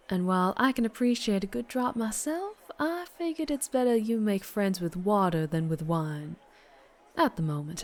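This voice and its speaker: A woman playing a flirtatious, doting but fierce vampire biker in an ASMR roleplay, with a Southern US accent and a teasing manner. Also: on the low side. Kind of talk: ASMR roleplay, intimate character monologue.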